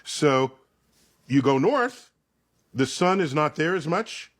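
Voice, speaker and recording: A man explaining science in conversation as a guest, emphatic and theatrical, telling it like a story with dramatic pauses and check-in questions. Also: fairly high pitch, animated.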